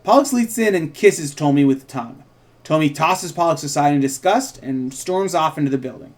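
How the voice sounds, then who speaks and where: Higher-voiced man reading a script aloud. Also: expressive, fairly quick. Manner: rambling, self-interrupting explanations. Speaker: podcast host and co-writer reading stage directions of his own script. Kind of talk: informal script table read with chatty asides.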